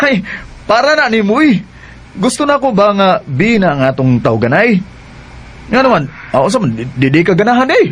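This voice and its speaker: An actor playing a smooth-talking, evasive young two-timer in a radio drama, stalling in a Cebuano accent. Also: male voice, sits high, sing-song, normal speed, fairly bright tone, slight background noise. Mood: flustered, covering up.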